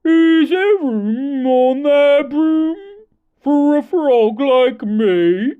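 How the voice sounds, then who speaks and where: A woman voicing a proud male frog in a storybook reading, croaky and very drawn out. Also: sits high, expressive, extremely slow, narrow, tinny audio, voice pushed up, full character voice. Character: dripping wet male frog, proud of being clean.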